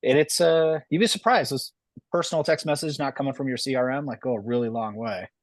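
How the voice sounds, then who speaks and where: A man's voice: mid-range voice, fairly quick, no room sound. A man, a real estate agent, telling a casual story on a video call, stammering a little at the start of thoughts.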